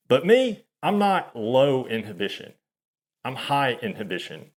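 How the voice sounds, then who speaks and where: Slow, halting man in his thirties. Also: medium pitch, very animated. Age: 30s. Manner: halting and hesitant, many fillers and restarts. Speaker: channel host. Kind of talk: confessional monologue to camera.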